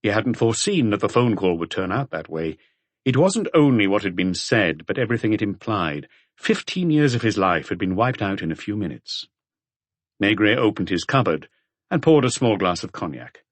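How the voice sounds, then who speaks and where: A man reading a detective novel as an audiobook narrator in a measured way. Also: on the low side, big pitch swings, dry recording.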